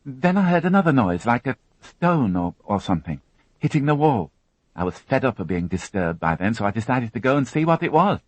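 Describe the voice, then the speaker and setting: A man voicing a frightened young woman who witnessed a crime, tearful and halting, repeating her words as she talks. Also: medium pitch, sing-song, no echo, pitched above the performer's own voice, low-quality recording. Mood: shaken.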